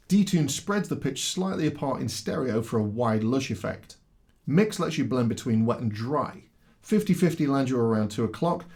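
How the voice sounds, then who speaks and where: A man presenting a product demo in scripted explanatory narration, with a clear, step-by-step instructional delivery. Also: medium pitch, a little mellow.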